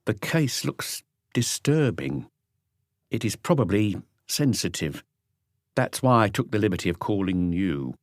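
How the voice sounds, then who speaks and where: A man's voice: on the low side, big pitch swings, unhurried, dry recording, clean studio sound. A man voicing a middle-aged, formal detective inspector who speaks carefully and courteously on the telephone in an audiobook. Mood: solemn, careful.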